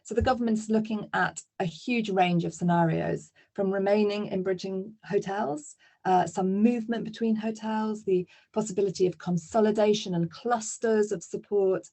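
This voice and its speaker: A woman's voice: medium pitch, steady tone, normal speed, mellow. A woman presenting a webinar lesson, explaining steadily with occasional fillers.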